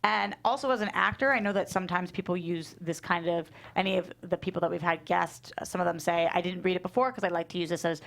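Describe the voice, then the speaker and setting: Low, creaky-voiced woman, frank. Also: quick pace, hardly any pauses, a bit bright. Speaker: actor on a panel. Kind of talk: casual panel discussion.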